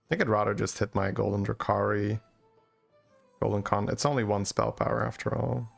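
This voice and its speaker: A man's voice: lowish voice, flat delivery, a little fast, creaky. A man from Belgium with a Flemish accent, whose first language is Dutch. He streams a game live, thinking his plans aloud and tagging them with "right?". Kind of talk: live gameplay commentary. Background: faint game music.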